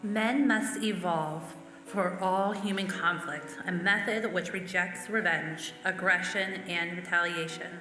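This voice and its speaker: A woman with a slightly low, bright voice reads quotations aloud. The hall is reverberant and music sits behind her. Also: unhurried. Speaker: church member reading. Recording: echoey hall, music underneath.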